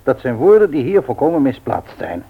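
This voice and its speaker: Grumbling old grandfather, husky, lively swooping pitch, hesitant muttering, radio drama, dull vintage recording. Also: fairly quick. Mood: calm, reassuring. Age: older. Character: grumbling, teasing, drowsy old husband and grandfather. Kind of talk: old radio play acting.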